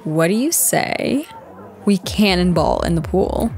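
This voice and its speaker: A woman's voice: on the low side, lively intonation, slow, a little mellow. A woman playing a teasing young goth girl in an ASMR roleplay, talking casually and directly to the listener. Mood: excited, playful. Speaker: roleplay voice performer. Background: background music.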